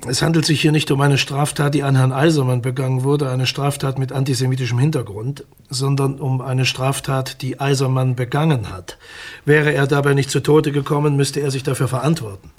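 An actor playing a condescending, legalistic state prosecutor in a radio crime drama, formal and measured, with a husky voice. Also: male voice, mid-range voice, flat delivery, darkish tone, natural voice. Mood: sceptical, firm.

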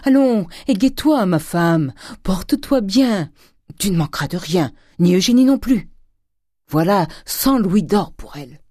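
Woman playing a blustering, wheedling old miser, excitable pitch. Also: measured pace, no echo, studio quality. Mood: forced cheer. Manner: exclamatory, wheedling, abrupt short phrases. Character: miserly old father, gruff, cajoling, blustering cooper.

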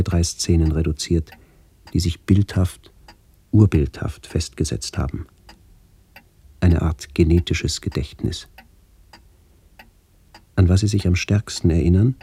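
A man playing an older narrator who recalls his village childhood in a radio play, measured and reflective, with a gravelly voice. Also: deep voice, dark tone, heavily acted. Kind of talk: literary first-person radio play narration.

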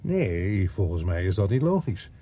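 A man's voice: low-pitched, big pitch swings, a little slow, mellow, muffled recording, a little hiss or hum. An actor playing a weary, sceptical policeman in a Dutch radio play, dry and grumbling, with a Netherlands Dutch accent. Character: weary, sceptical policeman narrating his own case.

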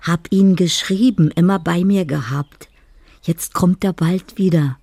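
Dreamy, mellow, creaky-voiced woman. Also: on the low side, measured pace, no echo. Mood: dreamy, musing. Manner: poetic, musing. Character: dreamy, lonely woman narrating her love letters. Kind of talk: literary radio drama monologue.

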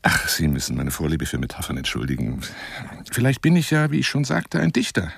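A garrulous big-game hunter in a radio monologue, courting a woman as he rambles. His low, husky voice swoops theatrically between exclamation and coaxing whisper. The sound is dry and intimate. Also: huge pitch swings, a little fast, fairly bright tone, no room sound, full character voice. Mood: poetic, wistful. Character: garrulous, self-styled lion hunter wooing a woman by telephone.

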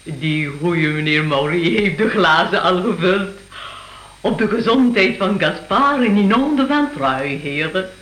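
A woman acting a warm-hearted, affectionate elderly grandmother in a radio drama. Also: lowish voice, animated, unhurried. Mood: joyful, lively. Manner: theatrical, affectionate. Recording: roomy, echoing radio play studio.

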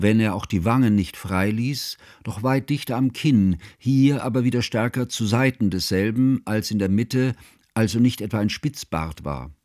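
An actor playing a grave, scholarly older man who narrates his composer friend's life in a radio play, solemn and measured in ornate literary phrases. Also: lowish voice, darkish tone, dry recording, clean studio sound, close to an everyday voice.

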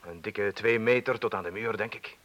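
A male actor playing a nervous, defensive ex-miner among burglars in a radio play, his voice husky and tense. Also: lowish voice, moderate pace, fairly bright tone, dull audio, close to an everyday voice. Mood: testy. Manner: defensive, grumbling, testy.

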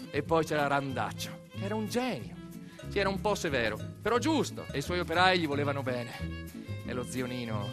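A man's voice: a little high, a bit bright. A man performing a theatrical narrative monologue, conversational and emphatic, full of asides and lists. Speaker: storyteller actor in a radio theatre monologue. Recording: music under the voice. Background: music.

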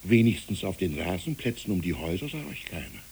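A man playing a kindly, reflective village pastor in a literary radio play, speaking in a measured, gently formal way. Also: sits low, big pitch swings, creaky, a little hiss or hum, full character voice. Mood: mild, musing.

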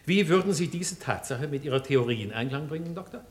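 Actor as shrewd defense lawyer in reverberant hall. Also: male voice, on the high side, lively intonation, natural voice. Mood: challenging. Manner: deliberate, rhetorical. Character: defense lawyer, formal, shrewd, challenging man. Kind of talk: German radio crime drama acting. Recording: long courtroom reverb.

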